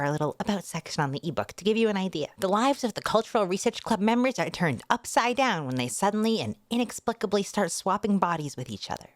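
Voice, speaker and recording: A woman with a somewhat low voice hosts a readalong. She narrates like a storyteller and keeps her delivery casual and expressive. The sound is very dry, with no room echo. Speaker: VTuber host reading a light novel aloud.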